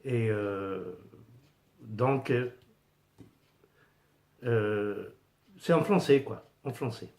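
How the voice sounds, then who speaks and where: A middle-aged man with an Italian accent, speaking French as a second language, casually vlogging about his pin collection with many pauses and fillers. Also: on the low side, gravelly, darkish tone. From Italy. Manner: hesitant, many fillers and restarts, searching for words. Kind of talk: casual unscripted vlog.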